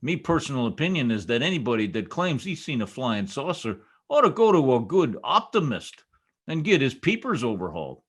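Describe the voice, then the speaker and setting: A man's voice: mid-range voice, very animated, brisk, husky. A man playing a blustery, stubborn American husband in an old-time radio comedy, emphatic and folksy as he garbles his words. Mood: stubborn, boastful. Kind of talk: 1940s radio comedy acting.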